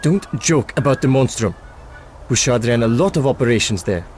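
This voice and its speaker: A guarded underworld fixer in a game cutscene, played by a man, curt and low-key. His voice sits at an ordinary male pitch, with a faint hum of traffic behind it. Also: lively intonation, normal speed, darkish tone, natural voice. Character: shady middle-aged male fixer, guarded. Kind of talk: video game cutscene acting.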